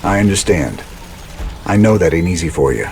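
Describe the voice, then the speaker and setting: Low-voiced rebel commander. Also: male voice, moderate pace, vocal fry, soft background music, heavily acted. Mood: reassuring, firm. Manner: laconic, folksy, steady authority. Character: weary, resolute rebel commander with cowboy plainness. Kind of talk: dramatic video game acting.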